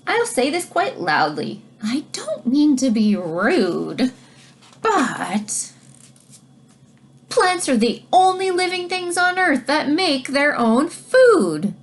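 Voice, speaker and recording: A woman reading a children's science book aloud like a teacher, expressively, pausing to explain things directly to young listeners. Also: sits high, very animated. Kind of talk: read-aloud storytelling with explanatory asides to children.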